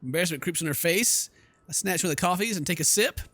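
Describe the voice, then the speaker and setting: A man's voice: high voice, animated, fast, sharp tone, no echo. A man hosting a game playthrough, reading the text aloud and commenting in a joking, exclamatory, informal way. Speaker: gaming video host.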